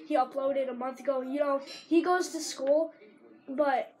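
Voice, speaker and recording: A teenager with a sore throat rambling casually while commentating a video game, breaking into excited shouts at plays. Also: female voice, high-pitched, measured pace, poor audio. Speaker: gamer.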